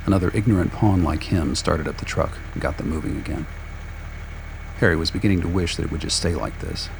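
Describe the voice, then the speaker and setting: A man's voice: a bass voice, a little fast, gravelly. A man reading scripted radio play narration in a wry, sardonic manner. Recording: faint background noise.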